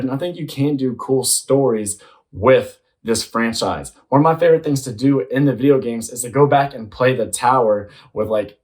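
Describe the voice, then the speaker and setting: A man giving casual, slangy commentary as an enthusiastic host reacting to a movie trailer. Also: mid-range voice. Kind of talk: excited casual commentary to viewers.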